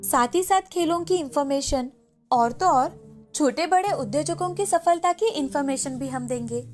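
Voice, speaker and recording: A woman's voice: sits high, moderate pace, a little mellow, faint music behind, lightly acted. A woman voicing an eager, respectful young daughter in a cartoon moral story, polite and earnest. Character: eager, respectful young daughter, bright student and office worker.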